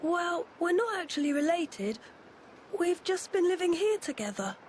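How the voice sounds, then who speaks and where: A woman's voice: extremely high pitch, sing-song, normal speed, darkish tone, slight background noise. A woman voicing an eager, bookish young boy in a video game, chirpy and informal. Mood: sheepish, explaining. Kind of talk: video game cutscene acting.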